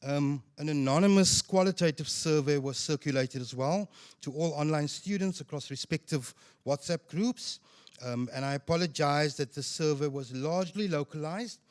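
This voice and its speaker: Man from South Africa, loud voice, formal stage talk with ums, handheld mic. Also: on the high side, smooth. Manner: measured, formal, with frequent ums. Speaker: student representative speaker. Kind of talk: panel presentation. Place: event stage.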